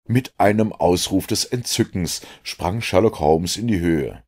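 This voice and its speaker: A man narrating a detective novel as an audiobook, reading in an expressive, storytelling way. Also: low-pitched, measured pace, breathy edge.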